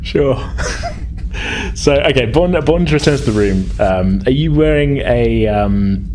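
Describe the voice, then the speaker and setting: A man's voice: medium pitch, expressive, slow pace, darkish tone, a little hiss or hum. A man narrating a tabletop game as game master, improvising in a casual, hesitant way.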